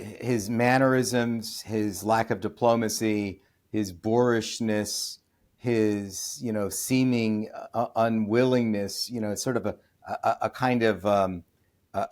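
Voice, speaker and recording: An American man, a podcast guest and author, giving opinionated interview answers with many restarts and fillers, then bursting into loud, scornful emphasis. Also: lowish voice, a little slow. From United States.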